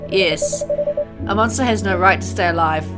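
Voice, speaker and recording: A woman's voice: on the low side, lightly acted. A woman voicing a grieving, vengeful father haunted by guilt, reading a visual novel aloud in a dramatic, brooding manner. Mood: remorseful. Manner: dramatic, brooding, deliberate phrasing. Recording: music under the voice.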